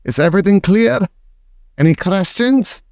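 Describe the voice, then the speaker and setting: Imperious female witch leader, read by a male narrator; shrill, lively, contemptuous, commanding. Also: normal speed, creaky, dry recording, studio quality. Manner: bossy, barks orders, contemptuous. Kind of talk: audiobook character voice.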